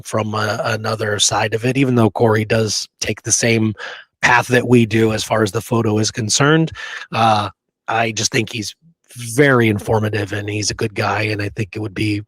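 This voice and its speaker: A man co-hosting a history show in casual discussion, talking informally and haltingly with frequent asides. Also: lowish voice, dry recording, rough recording. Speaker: co-host of a history talk show.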